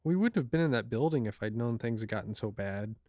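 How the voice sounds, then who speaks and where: A man's voice: medium pitch, expressive, fast talker, darkish tone, dry recording, lightly acted. Audio drama role, male actor: guilt-prone male fugitive, defensive and hesitant, medium register, dry recording. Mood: anxious, guilty.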